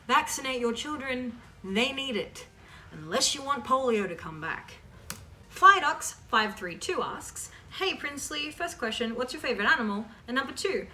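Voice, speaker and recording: A woman's voice: high-pitched, sharp tone, far from the mic, slight background noise. A woman hosting a casual question-and-answer chat for her viewers, informal and emphatic as she reads questions aloud and answers them.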